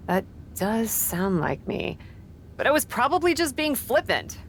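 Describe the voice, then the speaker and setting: A woman voicing a cocky young female wrestler in a game, breezy, flippant and quick to defend herself. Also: on the high side, very animated, slight background noise. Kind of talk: game cutscene dialogue.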